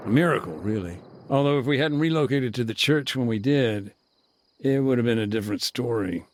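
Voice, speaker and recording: An actor playing a weary male aid worker who recounts events in a measured, laconic way during an interview in a video game. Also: medium pitch, close to an everyday voice. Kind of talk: game cutscene dialogue.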